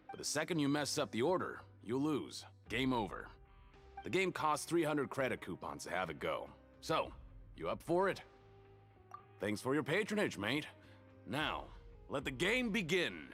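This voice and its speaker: A man's voice: lowish voice, fairly bright tone. An actor playing an affable, slick attendant running a game stall in a video game, with chummy salesman patter.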